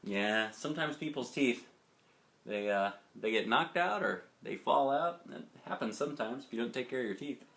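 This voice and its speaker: Man, storybook reader, highly varied intonation, chatty fatherly asides to kids, expressive. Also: normal speed, vocal fry. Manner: expressive, chatty, addressing young listeners. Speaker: father reading a picture book aloud. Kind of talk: storybook narration with casual asides.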